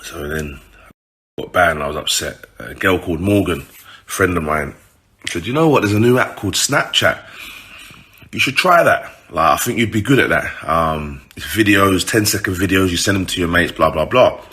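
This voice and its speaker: A man's voice: deep voice, expressive, normal speed, pushed and bright. A man with a British urban accent telling a story casually to his followers, informal, slangy and sweary.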